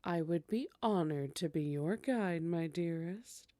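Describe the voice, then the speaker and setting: A woman's voice: on the low side, unhurried, warm tone, no room sound, studio quality, close to an everyday voice. A woman playing a teasing, courtly vampire hostess in a scripted ASMR roleplay, speaking in a coy, formal way. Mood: flirtatious.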